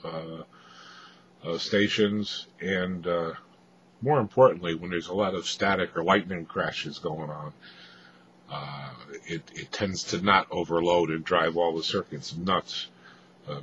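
A man giving an off-the-cuff technical walkthrough of equipment, explaining haltingly with frequent hesitations, as a hobbyist presenter. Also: low-pitched, steady tone, fairly bright tone. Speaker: amateur radio hobbyist presenter. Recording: dull lo-fi audio, faint background noise.

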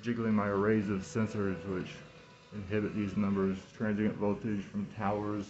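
Low-voiced man, dull lo-fi phone recording. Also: little pitch movement, darkish tone, slight background noise. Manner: halting, repeats words and phrases. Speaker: vlogger talking to camera. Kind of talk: rambling personal monologue. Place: small room indoors. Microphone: phone at arm's length, speaking through cloth mask.

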